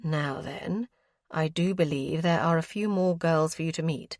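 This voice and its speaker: A woman's voice: sits low, unhurried, darkish tone, dry recording, clean studio sound. A woman voicing a fussy older aristocratic aunt who is busy matchmaking, speaking in a genteel, coaxing way. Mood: teasing.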